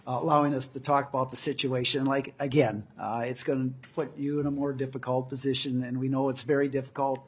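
Male commissioner, conciliatory, husky, mid pitch. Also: little pitch movement, brisk, hardly any pauses. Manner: conciliatory, thankful. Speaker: commissioner testifying. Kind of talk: formal testimony.

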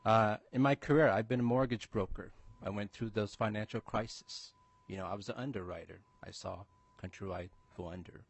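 A man's voice: on the low side, normal speed, vocal fry, breathy edge, no echo. A man from Minnesota giving an upbeat, personal introduction at a committee hearing. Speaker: state representative.

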